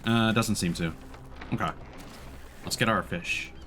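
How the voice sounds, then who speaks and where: A man's voice: fairly low pitch, unhurried, pushed and bright, slight background noise. A man streaming a video game, giving casual live commentary as he plays.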